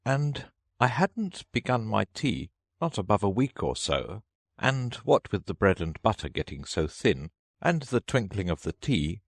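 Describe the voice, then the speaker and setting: A nervous, miserable hatmaker from a storybook as a man acts him out, trembling and pleading. The voice rises high and swings widely in pitch. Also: big pitch swings, a little slow, creaky, dry recording, studio quality, heavily acted. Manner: anxious, pleading. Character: nervous, miserable, poor Hatter pleading in court. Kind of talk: storybook character voice.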